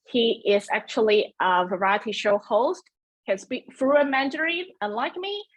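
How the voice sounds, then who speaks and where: A woman's voice: on the high side, low-quality recording. A woman whose first language is Cantonese, hosting a webinar in a chatty, self-deprecating way with many fillers and restarts, talking into a computer mic. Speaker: webinar host. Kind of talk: presenting a webinar.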